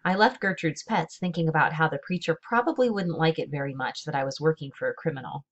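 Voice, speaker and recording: A woman with a low voice reads a children's novel aloud. Her delivery is measured and expressive, with deliberate emphasis as she tells the story. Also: dull audio. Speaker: read-aloud reader. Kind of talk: expressive children's book narration.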